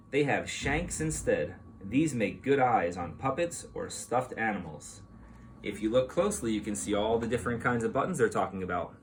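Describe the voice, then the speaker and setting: A man reads a storybook aloud for young children in a medium-pitched voice. His intonation is animated and expressive, explaining as a teacher would. There is faint noise behind him.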